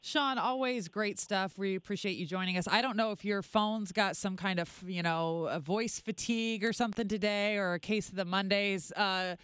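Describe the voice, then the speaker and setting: A man's voice: high voice, normal speed, hardly any pauses, fairly bright tone, clean tone, dry recording. A man co-hosting a sports radio show, wrapping up segments with teasing jokes. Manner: teasing, jokey. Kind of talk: casual sports radio chat.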